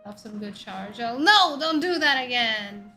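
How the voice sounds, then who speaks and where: A woman from India with an Indian accent, a VTuber in her thirties chatting casually with her viewers and firing off questions and loud exclamations. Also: fairly high pitch, lively intonation, normal speed. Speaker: VTuber streamer. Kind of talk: casual chat reading viewer messages. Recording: faint background music under voice.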